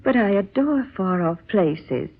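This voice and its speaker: An actress playing a devoted, adventurous wife in a 1940s radio drama, with a tender, earnest, theatrical delivery. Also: female voice, medium pitch, lively intonation, a little slow, gravelly. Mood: eager, devoted. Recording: muffled vintage radio recording.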